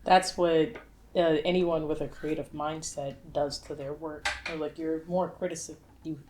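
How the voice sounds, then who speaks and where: A woman's voice: deep voice, steady tone, moderate pace, background noise. A woman co-hosting a podcast, talking in casual, informal conversation. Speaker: podcast co-host. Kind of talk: casual chat.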